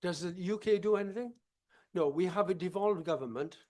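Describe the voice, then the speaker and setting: A man's voice: high-pitched, a little fast, a little mellow. A man from Wales answering audience questions on a conference panel, hesitant with many restarts before making firm points.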